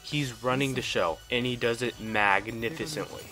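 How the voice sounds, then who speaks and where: A man's voice: medium pitch, normal speed, pushed and bright. A man narrating a scripted video game review in an enthusiastic, opinionated way. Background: background music.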